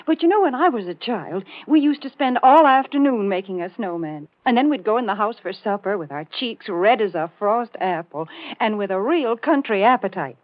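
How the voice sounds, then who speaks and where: A woman's voice: medium pitch, lively intonation, normal speed, dry recording. A woman doing a folksy, scripted 1940s radio commercial as announcer. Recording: muffled 1940s radio broadcast.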